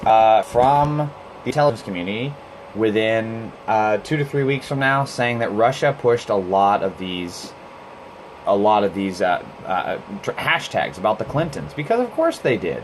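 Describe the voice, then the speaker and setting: A man's voice: lowish voice, very animated, normal speed, slight background noise. A man from Costa Rica hosting a live stream, talking bluntly and heatedly in a casual monologue, swearing freely and often restarting his sentences.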